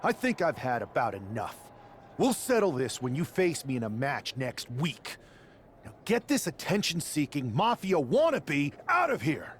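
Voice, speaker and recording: An actor playing a cocky, wisecracking young wrestler in a video game cutscene. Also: male voice, a little high, huge pitch swings, a little slow, a little hiss or hum. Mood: demanding.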